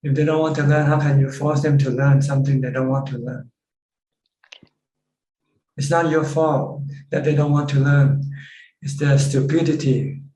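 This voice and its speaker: A man with a Thai accent teaching as a Buddhist monk in an informal question-and-answer talk, halting and plain-spoken. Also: mid-range voice, steady tone, warm tone. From Thailand. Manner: halting, with pauses and repeated phrases. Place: video call from a roomy space. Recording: roomy distant mic with background noise.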